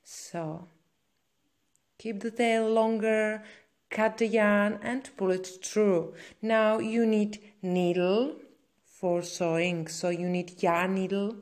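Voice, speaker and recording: A woman hosts a step-by-step craft tutorial in a Czech accent. Her pace is slow and her pitch is average for a woman. As a native Czech speaker, she uses simple phrasing with some non-native hesitancy. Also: steady tone, darkish tone. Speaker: crochet tutorial host.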